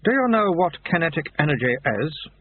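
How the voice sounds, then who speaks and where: A sly, self-satisfied secret-order monk, put on by a male audiobook narrator, his voice raised and drawn out at a deliberate, teasing pace. It comes across tinny and phone-like, with a hint of huskiness. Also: fairly high pitch, big pitch swings, dry recording, voice pushed up. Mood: smug. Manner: smug, patient and slyly teasing. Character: mysterious dry-witted male recruiter monk.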